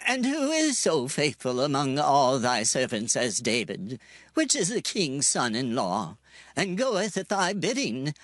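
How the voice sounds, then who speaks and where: A man's voice: fairly high pitch, fairly bright tone, no echo. A man playing an earnest, dignified priest in a scripture drama, speaking with solemn deliberation. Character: earnest, dignified priest pleading innocence before a king.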